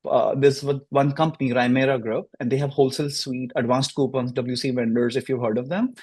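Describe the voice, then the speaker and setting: A male podcast host talks quickly and eagerly in a mid-range voice. He trips into repeated starts before finding his sentence, keeping a casual, friendly interview flow. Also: medium pitch, fairly even intonation, darkish tone, breathy edge. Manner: fast, eager, stumbling restarts.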